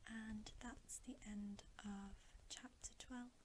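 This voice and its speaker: A woman reading a story aloud in a soft, breathy ASMR whisper, in a measured way. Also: mid-range voice, fairly even intonation, a little hiss or hum. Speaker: ASMR storybook reader, channel host.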